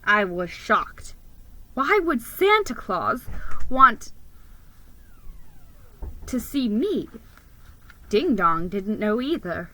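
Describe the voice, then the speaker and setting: A woman giving a theatrical, expressive dramatic reading of a children's story. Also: medium pitch, very slow, pauses often, rough recording.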